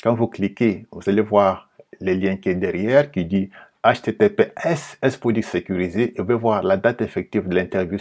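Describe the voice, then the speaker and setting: Low, husky Congolese man commenting. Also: a little mellow. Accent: Congolese French. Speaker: political commentator and video host. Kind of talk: informal political commentary.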